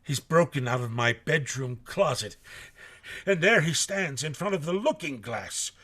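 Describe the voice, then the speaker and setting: An honest, rough sailor in a radio play. His voice sits a little high and he speaks slowly, halting and stammering with nervous deference. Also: lively intonation, a bit bright. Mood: uneasy storytelling. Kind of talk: live radio drama acting.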